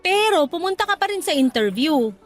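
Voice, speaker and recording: A woman acting as an affectionate, encouraging young girlfriend in a radio drama, speaking in a coaxing, animated way. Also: very high voice, brisk, faint music behind. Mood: upbeat, coaxing. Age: young adult. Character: supportive, upbeat young girlfriend cheering on her partner. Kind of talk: Tagalog radio drama dialogue.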